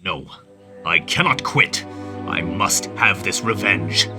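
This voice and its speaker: A man playing a grim, vengeful samurai searching for his brother's killer, solemn, terse and insistent, in an animated story. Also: deep voice, takes it slowly, heavily acted. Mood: determined. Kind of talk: dramatized animated bedtime story. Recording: loud music under the voice.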